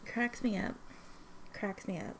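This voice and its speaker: A woman's voice: medium pitch, unhurried, creaky, background noise. A woman chatting casually in a vlog as she swatches inks, sniffly from allergies, rambling with lots of ums and self-corrections. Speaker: ink swatching vlogger.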